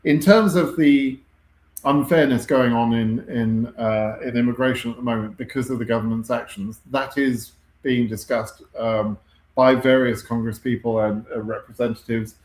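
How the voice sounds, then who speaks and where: A man's voice: mid-range voice, fairly even intonation, moderate pace. British guy doing a live q&a, lots of ums, roomy echo. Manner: conversational, frequent ums and self-corrections. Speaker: host answering viewer questions. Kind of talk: live Q&A stream.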